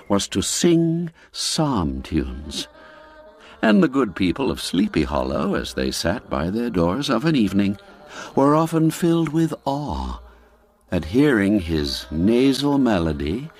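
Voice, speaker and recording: A man's voice: on the low side, a little mellow. A man narrating a classic radio drama, telling it expressively and rising and falling with the suspense.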